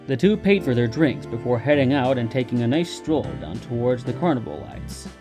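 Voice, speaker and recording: A man's voice: medium pitch, big pitch swings, normal speed, music in the background. A man reading a scripted story as its narrator.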